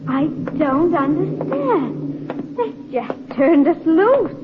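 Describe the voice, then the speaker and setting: A woman's voice: very high-pitched, very animated, extremely slow, heavily acted. An actress playing a frightened but proud young woman of future Earth, emotional and formally deferential, in an old-time radio drama. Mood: wounded, proud. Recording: tinny, noisy old radio recording.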